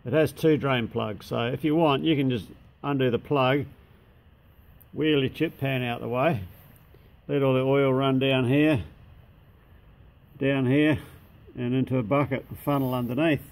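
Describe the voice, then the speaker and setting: A man's voice: mid-range voice, fairly even intonation, measured pace, pauses often, darkish tone. An Australian man who runs a machine shop, giving a casual, matter-of-fact walkthrough in a large indoor workshop shed. He speaks plainly, uses local slang, and is recorded on a handheld camera mic.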